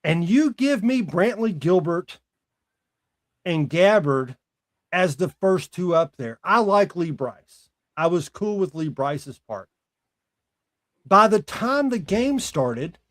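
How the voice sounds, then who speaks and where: A man in his forties speaks in a somewhat high voice with a very dry, close sound. He hosts a casual sports talk podcast and delivers opinions emphatically, often as exasperated rhetorical questions. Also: very animated, takes it slowly, pauses often, dry recording. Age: 40s.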